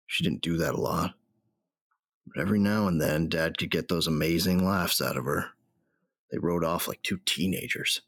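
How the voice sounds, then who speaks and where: Very low male voice, muffled soft edges, bitter grieving space hunter narrating, reflective, rough-spoken, dry close sound, audio drama. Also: moderate pace, no echo. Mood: wistful.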